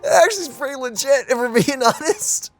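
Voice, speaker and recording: A man's voice: very high-pitched, expressive, fairly quick, fairly bright tone, soft background music. A young man giving casual, jokey live commentary as he reacts to a video game. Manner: jokey, chatty. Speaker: gaming reaction streamer.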